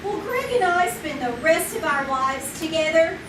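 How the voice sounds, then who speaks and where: Dreamy giggly girl, woman in a live radio play; high, lively voice, far off in an echoey room. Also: normal speed. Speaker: Peggy, a young woman. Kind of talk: live old-time radio drama. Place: small theater stage, live. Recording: echoey stage from far off, noisy.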